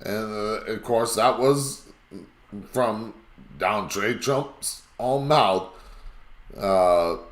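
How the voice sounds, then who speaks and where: A man with a raspy voice hosting a live call-in talk show, talking in a rambling, emphatic way. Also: lowish voice, extremely slow, pauses often, reverberant.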